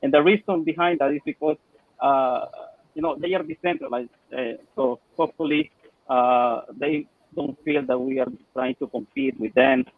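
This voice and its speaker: A man's voice: a little high, expressive, normal speed, vocal fry, no echo, narrow, tinny audio. A man from El Salvador on a live team stream, speaking hesitantly and repeating his words. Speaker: project team member.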